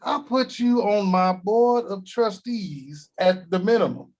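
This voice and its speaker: An actor at a table read playing a boastful, crude, newly rich grandfather, talking in a slow drawl. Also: high-pitched, darkish tone.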